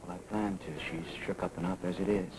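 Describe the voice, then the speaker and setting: A man acting a guarded investigator, very deep-voiced, who hedges and stalls with little pauses. The sound is a hissy 1980s television broadcast. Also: steady tone, close to an everyday voice. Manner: hesitant, full of uh pauses. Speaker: soap opera character. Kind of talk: 1980s daytime soap acting.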